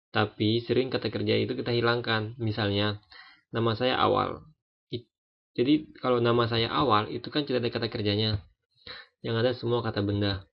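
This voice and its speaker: Young male tutor, 20s, gravelly low-middle voice, loose and hesitant delivery, dull lo-fi sound. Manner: relaxed, hesitant, many fillers and restarts. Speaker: French language teacher. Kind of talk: casual spoken lesson. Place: France. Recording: dull lo-fi recording.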